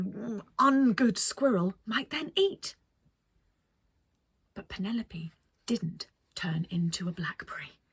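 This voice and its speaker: A woman reading a children's story as a storyteller, expressive, with dramatic pauses. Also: mid-range voice, breathy edge, no room sound. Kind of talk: scripted children's story narration.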